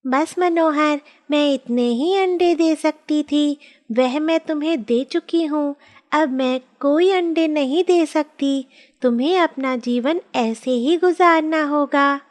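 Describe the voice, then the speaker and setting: A woman voicing a gentle, grateful magical hen in a children's cartoon story, speaking earnestly. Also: sits high, slow. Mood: firm.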